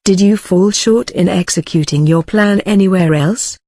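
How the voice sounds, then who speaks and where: Female interviewer, medium pitch, formal read-aloud delivery, very dry recording. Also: moderate pace, mellow, no echo. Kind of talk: scripted interview and narration.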